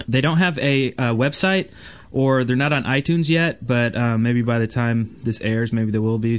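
A man co-hosting a gaming podcast, giving a casual, loosely worded rundown of community news. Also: medium pitch. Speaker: podcast host.

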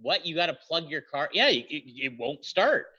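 A man's voice: fairly high pitch, normal speed, slightly rough, highs cut off. A man with a Canadian accent, co-hosting a casual podcast and telling stories playfully with acted-out asides. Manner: animated, playful storytelling with voiced asides. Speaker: podcast co-host.

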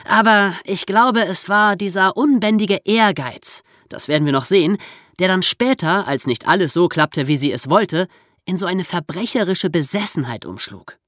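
Low-pitched woman, animated podcast host. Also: moderate pace, no echo, studio quality. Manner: explanatory, storytelling with casual asides. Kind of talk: conversational explainer podcast for young listeners.

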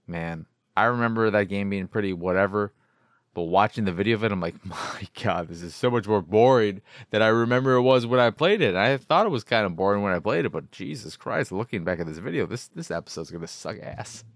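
Low-voiced man rambling casually, close and dry. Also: lively intonation, fairly quick, no echo. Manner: rambling, hedging, circles back to rephrase. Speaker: podcast host. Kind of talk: casual solo video game review talk.

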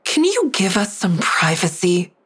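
A woman's voice: lowish voice, big pitch swings, a little slow, breathy edge, no echo, heavily acted. A woman playing a guarded, bitter adult daughter in an audio drama, terse and confrontational. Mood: tense, confrontational. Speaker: lead actor narrating and playing a daughter. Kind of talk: audio drama acting with first-person narration.

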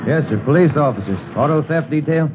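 Higher-voiced polite detective played by a man, noisy radio. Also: animated, fairly quick, lightly acted. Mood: polite, businesslike. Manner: plain-spoken, courteous. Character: polite, businesslike male auto theft detective. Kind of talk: 1950s radio drama acting. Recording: noisy, phone-like vintage radio broadcast.

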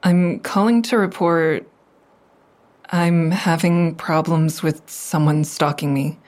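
Slow, hesitant, low-voiced anxious woman. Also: steady tone, a little mellow. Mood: hesitant, uneasy. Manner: hesitant, halting. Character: anxious, hesitant woman reporting a stalking ex-boyfriend. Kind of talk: audio drama acting, naturalistic phone dialogue.

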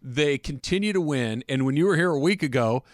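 A man's voice: on the high side, animated, a little fast, dry recording, studio quality. An American man hosting a sports talk radio show, bantering and working through points by rephrasing them with analogies.

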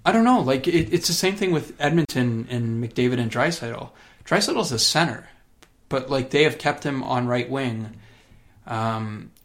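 Male co-host, middle pitch, chatty musing sports talk. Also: expressive, measured pace. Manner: conversational, musing with "like". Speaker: podcast co-host, hockey writer. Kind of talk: casual sports chat.

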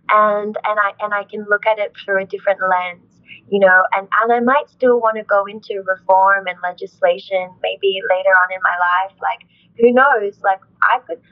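Earnest young woman, muffled audio. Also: medium pitch. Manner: earnest, thoughtful, frequent "like" and "you know" fillers. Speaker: interview guest, actress. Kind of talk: reflective conversational interview.

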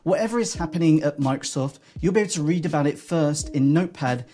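A man reading a scripted, upbeat promo for a newsletter. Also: dark tone. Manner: brisk, upbeat pitch. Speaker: senior editor reading a promo.

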